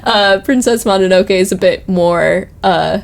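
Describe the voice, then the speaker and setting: Young female, informal conversational read, keen and loosely phrased, mid-range pitch. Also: measured pace, creaky. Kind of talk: casual chat. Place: office.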